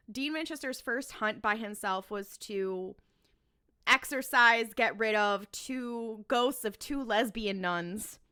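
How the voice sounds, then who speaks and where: A woman's voice: a little high, slow pace, sharp tone, smooth. A woman on a podcast panel chatting casually as a fan making a case, emphatic and repeating phrases for effect.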